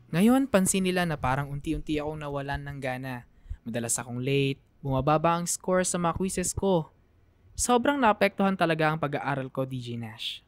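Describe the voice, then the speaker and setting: An actress playing a saddened student narrating a letter in a Filipino radio drama, reflective and sorrowful, with a Filipino accent. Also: female voice, sits low, no echo. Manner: sorrowful, reflective, trailing off softly.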